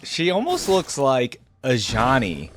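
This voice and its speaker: A man's voice: medium pitch, wildly swooping pitch, slow pace, noisy background. A man hosting a casual reaction commentary, speaking informally and musing aloud. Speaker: reaction video host.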